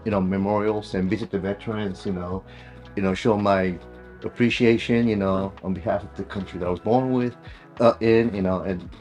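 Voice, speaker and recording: A middle-aged man with a low voice and a Korean accent chats casually. His speech is halting and peppered with "you know". Faint music plays behind him. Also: steady tone, a little mellow. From South Korea. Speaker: client visiting a workshop. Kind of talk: casual conversation.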